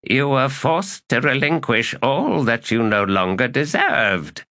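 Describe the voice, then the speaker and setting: A man voicing a weary, melancholy old male elder god, speaking in a resigned, slow and measured way. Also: medium pitch, expressive, pushed and bright, pitched above the performer's own voice, full character voice. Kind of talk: audiobook character reading.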